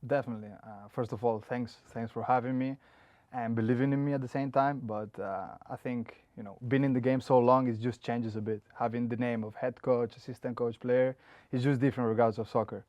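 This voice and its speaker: A man's voice: mid-range voice, steady tone, brisk, darkish tone, dry recording. A young man from Spain, answering interview questions as a head coach in a plain, earnest way with frequent "uh" and "you know". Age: young adult.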